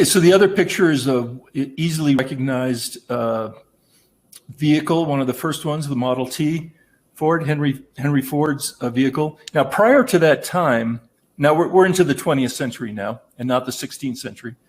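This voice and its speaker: Conversational man explaining a training topic. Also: mid-range voice. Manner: conversational, explanatory, tag "right?". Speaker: presenter. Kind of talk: training presentation.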